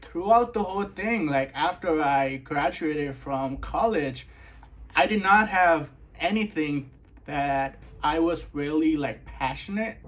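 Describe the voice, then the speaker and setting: A young man from Nepal, a guest in a casual interview, relaxed and full of "like" fillers. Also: on the high side. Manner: relaxed, filler-heavy, says "like" often.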